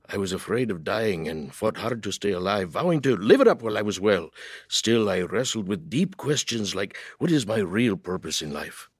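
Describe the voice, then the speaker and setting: A man playing an earnest adult telling his conversion testimony in a scripted, expressive radio storytelling style. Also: lowish voice, no room sound, studio quality. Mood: troubled.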